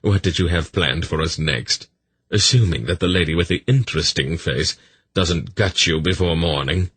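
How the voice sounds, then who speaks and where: A man's voice: low-pitched, a little slow, husky, dry recording, pitched above the performer's own voice. A man voicing a sardonic, fussy rogue in a fantasy audiobook, with dry sarcasm. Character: sardonic, fussy, quick-witted male rogue.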